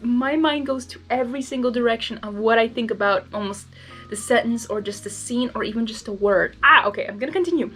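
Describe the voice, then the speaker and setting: A young adult woman from Hungary casually reacting as she reads fan fiction aloud, excitable and rambling, often interrupting herself. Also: on the high side. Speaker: fan fiction reader and video host. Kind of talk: casual blind reading with personal commentary.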